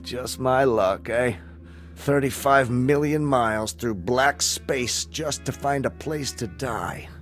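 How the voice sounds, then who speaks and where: An actor playing a determined, idealistic injured astronaut in a radio-style drama, earnest and persuasive. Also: male voice, mid-range voice, takes it slowly, a bit bright. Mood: weary, earnest. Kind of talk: dramatic radio-play acting. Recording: music playing behind the voice.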